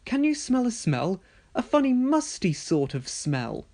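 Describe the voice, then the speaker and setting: A cheeky, boyish little steam engine, voiced by a man from a children's storybook. The pitch is lifted well above normal, and the manner is impish and excitable. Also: a little slow, exaggerated voice. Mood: teasing. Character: cheeky, naughty young male little tank engine.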